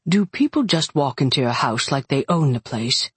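A woman voicing a gruff, unbothered big man in an audiobook, blunt, dry and unyielding. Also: rumbling low, animated, normal speed, no echo, heavily acted. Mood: dry, teasing. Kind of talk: audiobook character dialogue.

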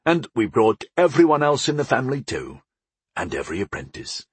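A man voicing a portly, proud older baker father in an audiobook, gruff and resolute, explaining things without hurry. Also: medium pitch, wildly swooping pitch, no room sound, exaggerated voice. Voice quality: gruff, grumbling. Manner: unhurried, explaining, homespun wisdom. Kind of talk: audiobook character dialogue.

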